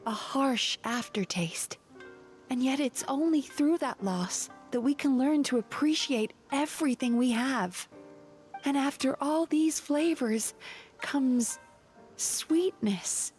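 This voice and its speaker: A gentle young female therapist from a fantasy video game speaks in a high voice. She is earnest and measured, with soft music behind her. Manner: thoughtful, measured, sincere. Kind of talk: scripted video game voice acting.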